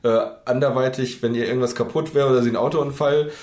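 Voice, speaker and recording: A man's voice: mid-range voice, fairly even intonation, fast talker, darkish tone. Rambling man chatting in an echoey room. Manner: rambling, thinks aloud with many fillers. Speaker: podcast co-host. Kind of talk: casual chat. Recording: roomy echo.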